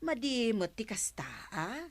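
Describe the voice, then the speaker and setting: A woman's voice: on the high side, lively intonation, measured pace. An actress playing an inquisitive, gossipy village woman who questions her neighbours in an Ilocano Filipino accent, in a radio comedy drama. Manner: questioning, reactive.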